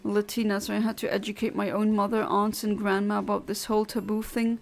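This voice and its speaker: Woman, gravelly fry, blunt and literal, casual streamer chat. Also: medium pitch, level tone, fairly quick, faint music behind. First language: Luxembourgish. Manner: blunt, literal and chatty, with many restarts. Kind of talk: casual chat answering a livestream chat.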